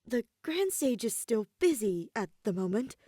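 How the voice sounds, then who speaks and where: A woman voicing a polite but gently firm male office assistant in a story. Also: on the high side, very animated, measured pace, no echo, clean studio sound, pitched above the performer's own voice.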